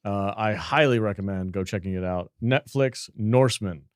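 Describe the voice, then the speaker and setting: A man hosting a gaming podcast in a loose, energetic, profane casual chat. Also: lowish voice, normal speed, smooth, dry recording, studio quality. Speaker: podcast host.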